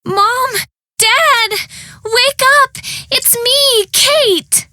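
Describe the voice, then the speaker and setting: A woman's voice: very high voice, extremely slow, sharp tone, no room sound, studio quality, voice pushed up, full character voice. A woman voicing a young girl in a storybook, anxious and childlike but earnest. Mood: panicked.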